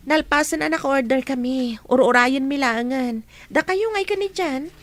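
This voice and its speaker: A woman's voice: high voice, moderate pace, close to an everyday voice. A young woman acting a level-headed student friend who scolds her classmate in an audio drama. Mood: chiding. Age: young adult. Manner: chiding, calm.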